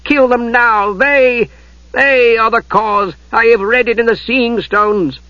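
Fanatical sorceress, woman, high and frantic; played by a man. Muffled. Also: steady tone, slow, no echo.

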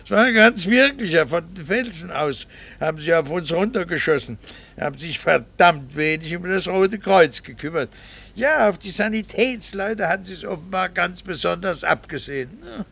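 An actor playing a middle-aged doctor and husband in a radio drama, a gravelly voice and a dry, wry, quietly resentful manner. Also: male voice, high voice, moderate pace, full character voice. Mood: reminiscing, defensive. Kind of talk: 1960s radio drama acting.

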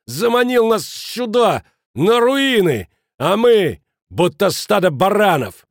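A man voicing an enraged, blustering man who feels cheated, ranting in abrupt shouted phrases in an audiobook. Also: high-pitched, very animated, takes it slowly, no echo, studio quality, pitched above the performer's own voice, exaggerated voice. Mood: roaring outrage.

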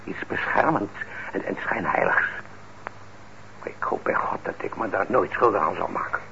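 Stern, gravelly low-voiced father. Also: lively intonation, pushed and bright, heavily acted. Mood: indignant, reproachful. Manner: measured, admonishing, deliberate. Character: stern, principled father and craftsman, indignant but fair. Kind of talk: radio drama acting. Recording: muffled old radio recording, faint noise.

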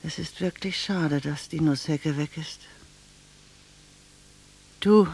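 An actress playing a blunt, wistful middle-aged village cashier in a German radio drama, speaking in a deliberate, intimate way. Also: female voice, on the low side, a little mellow.

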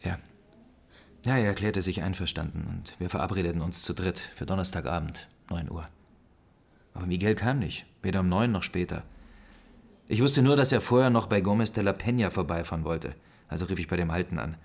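An actor playing a cynical, defiant ex-convict suspect in a German radio crime drama, explaining himself tersely. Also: male voice, deep voice, fairly quick, gravelly. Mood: guarded confession. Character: male ex-convict under suspicion, defiant and cynical.

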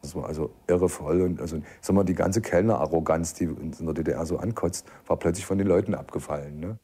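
A man's voice: deep voice, fast talker, dark tone, breathy edge. A man from East Germany recalls events as an eyewitness in a colloquial, anecdotal way. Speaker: eyewitness interviewee. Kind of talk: spontaneous spoken recollection.

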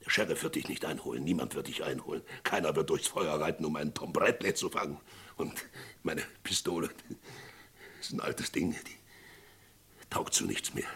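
An actor playing a desperate frontier sheriff in a Western radio play, pleading urgently with his friend, swinging from hushed to shouting. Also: extremely low pitch, moderate pace, pauses often, husky, heavily acted. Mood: quiet, pleading.

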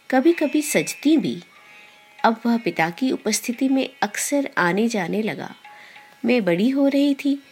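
A woman podcast host reading a short story in a measured, deliberate storyteller's delivery. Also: on the high side. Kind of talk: scripted storytelling narration. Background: background music.

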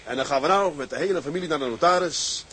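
Plain-spoken sea captain, higher-pitched. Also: male voice, quick pace, sharp tone, slight background noise. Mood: businesslike. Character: plain-spoken, genial sea captain leading his crew. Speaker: actor. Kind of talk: Dutch radio drama acting.

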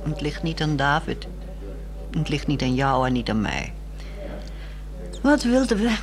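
A woman acting a weary, resolute married woman in a 1960s radio drama, speaking in a measured, articulate and wry way. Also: sits low, big pitch swings, a crowd in the background, exaggerated voice. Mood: disillusioned.

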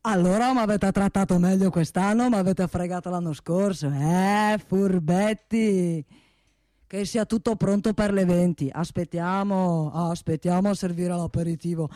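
A woman's voice: on the low side, measured pace, warm tone, no echo, lightly acted. A woman playing an ageing, wealthy male boss in a comic radio monologue, self-satisfied and domineering, rambling and repeating with little questioning tags. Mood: bossy, relishing. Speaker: actor in a comic radio play.

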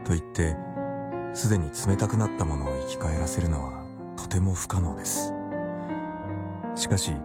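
Male scientist narrator played by an actor, radio drama, earnest and methodical, loud music underneath. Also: low-pitched, normal speed, pauses often, lightly acted. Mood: clinical. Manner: formal, methodical. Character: male doctor-scientist recounting experiments, earnest, coolly obsessive. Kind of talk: scripted first-person narration, radio drama.

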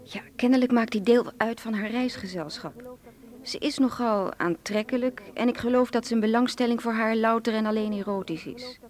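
A woman's voice: fairly high pitch, fairly even intonation, moderate pace, darkish tone, lightly acted. A woman playing a smuggler boss's amused, flirtatious and ruthless sister in a radio drama, with a cool, teasing delivery.

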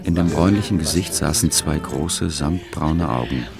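A man's voice: a bass voice, normal speed, creaky, over music. A man as the observant literary narrator of a radio drama about society life. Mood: measured, descriptive.

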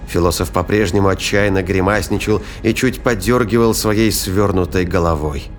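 A man's voice: low voice, moderate pace, a little hiss or hum. A man giving scripted, dramatic narration of a science fiction story as its narrator. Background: music.

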